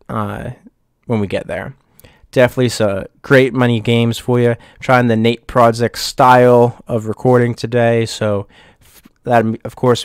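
A young man hosting his channel, giving relaxed gameplay commentary, his voice a bit off from getting over the flu, thinking aloud with pauses and restarts. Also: medium pitch, no room sound, clean studio sound. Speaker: YouTube channel host. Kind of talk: casual gameplay commentary over screen recording.

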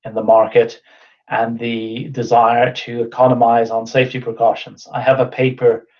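A man's voice: mid-range voice, monotone, normal speed. A man in his thirties giving an online talk and answering questions, thinking aloud with restarts and fillers, over a webcam video call. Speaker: invited speaker. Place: indoor room with whiteboard. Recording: dull lo-fi video call audio.

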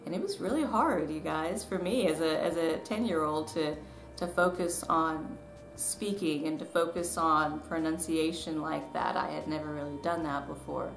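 Low-pitched woman vlogger in an echoey room. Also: normal speed. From southern US, Tennessee and Georgia. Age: 30s. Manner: chatty, self-deprecating, giggly. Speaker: author and vlogger. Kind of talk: casual personal storytelling to camera. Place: small living room at home, indoors. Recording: echoey room with background noise.

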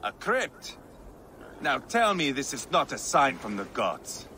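An actor playing a brash Viking warlord who talks with sardonic, mocking humor in a game cutscene. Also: male voice, mid-range voice, very animated, slow, sharp tone, a little hiss or hum, heavily acted. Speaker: game character.

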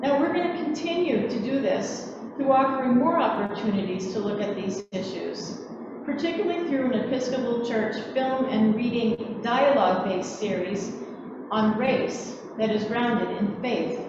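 A woman's voice: fairly high pitch, lively intonation, normal speed. Echoey, distant older woman preaching. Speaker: Episcopal preacher. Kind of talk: sermon. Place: large church, at a pulpit. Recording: echoey church from far off, muffled, noisy.

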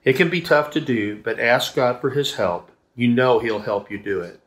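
Male, fifties, mid-range pitch, children's devotional reader reading from the page. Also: normal speed, a bit bright. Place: indoors in a room at home, seated at a table.

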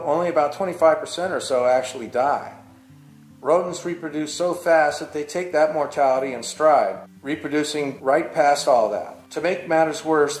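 An older man speaks as a falconer, explaining things in a measured, matter-of-fact way from an armchair in his living room. His voice carries some room echo, and faint guitar music plays behind him. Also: medium pitch. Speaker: falconer and barn owl box installer. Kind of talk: scripted educational narration. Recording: roomy sound with faint music.

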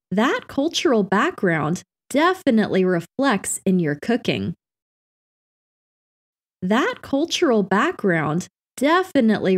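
Slow, lively woman reading practice phrases. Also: medium pitch. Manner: clear, carefully enunciated delivery. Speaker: English lesson reader.

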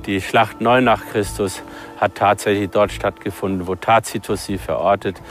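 A man's voice: lowish voice, steady tone, moderate pace, faint music behind. A man speaking as a professor, arguing formally in a documentary interview.

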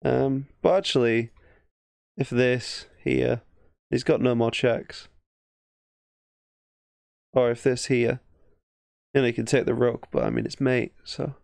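A man's voice: mid-range voice, steady tone, slow, gravelly, long pauses, darkish tone, no room sound, studio quality. A man with an English accent giving casual, thinking-aloud game commentary as a chess streamer, often muttering half-finished thoughts. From England.

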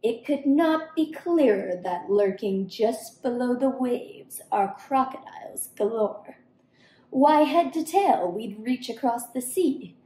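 A woman's voice: a little high, animated, slow pace, mellow. A woman voicing a boastful, crusty storybook crocodile with a declamatory, taunting delivery. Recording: roomy indoor echo.

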